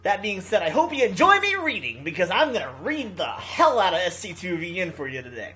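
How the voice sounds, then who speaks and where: Casting: gaming YouTuber type, mid-pitched, casual and goofy, excitable when commenting, reading game text aloud. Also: brisk. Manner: excitable, goofy, rambling asides. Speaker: gaming video host reading a visual novel aloud.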